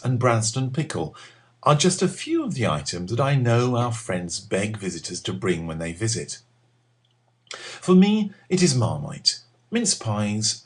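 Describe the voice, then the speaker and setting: A middle-aged man with an English accent, reading a scripted personal essay in a deliberate, expressive manner with measured phrasing. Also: fairly low pitch, husky. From England. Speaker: writer reading own column.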